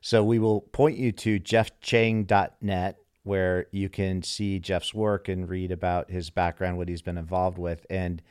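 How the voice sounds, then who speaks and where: A man with a very deep, resonant voice reads the show's introduction from a script, smooth and measured. The recording is close and dry, with no room sound. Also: a little mellow, no room sound. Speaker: show announcer.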